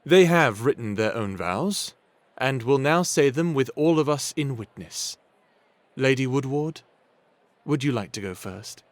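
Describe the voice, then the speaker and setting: A man playing a stately wedding officiant in an audio drama, speaking in a formal, measured, proclaiming manner. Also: mid-range voice, lively intonation, no echo, natural voice. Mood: solemn, admiring. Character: stately male wedding officiant serving the king. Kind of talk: ceremonial speech in audio drama.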